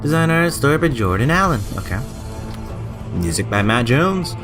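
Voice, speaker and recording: A man's voice: mid-range voice, sing-song, moderate pace, over music. A man giving casual, chatty let's play commentary and reading game text aloud, stumbling now and then. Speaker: gaming channel host.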